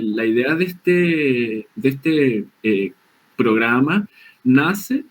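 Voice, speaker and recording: A man's voice: a little high, darkish tone, slightly rough. A man hosting a professional program, speaking hesitantly with many fillers. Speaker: host. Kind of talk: hosting a professional talk show.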